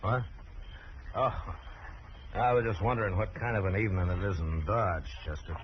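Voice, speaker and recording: Male actor as calm, authoritative western lawman: low voice, dry delivery, muffled noisy old radio recording. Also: normal speed, close to an everyday voice. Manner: dry, understated, firm. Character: calm, weary, authoritative frontier marshal, adult man. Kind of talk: 1950s radio western acting.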